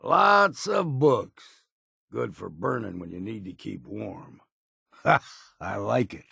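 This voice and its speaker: Grizzled, hard-drinking old island companion for a game: husky, low-pitched, wry and folksy, swinging widely in pitch. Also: male voice, animated, slow, pushed and bright, dry recording, clean studio sound, full character voice. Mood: wry, easygoing. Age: older. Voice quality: gravelly, husky. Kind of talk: video game character voice lines.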